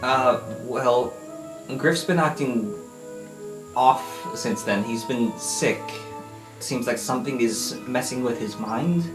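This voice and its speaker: A man's voice: mid-range voice, takes it slowly. Echoey male tabletop player. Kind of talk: improvised in-character roleplay. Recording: roomy echo with plucked-string music behind.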